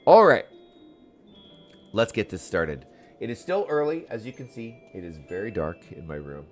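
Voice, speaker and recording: A man giving casual, playful commentary as he plays through a video game. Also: on the low side. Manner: playful, chatty, exclaiming. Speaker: gaming streamer. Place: dark room at home.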